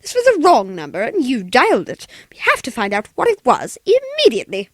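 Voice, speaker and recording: High-pitched woman, played by a man, wealthy invalid, hysterical, demanding, very animated, 1940s radio drama. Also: fairly quick, dry recording, full character voice. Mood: exasperated. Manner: imperious, exasperated, breathless urgency. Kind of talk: 1940s radio play acting.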